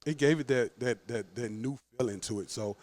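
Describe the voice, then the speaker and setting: A man's voice: mid-range voice, normal speed. Laughing storyteller, a coach in his forties. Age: 40s. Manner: animated storyteller, laughs often. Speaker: head football coach. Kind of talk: casual podcast interview.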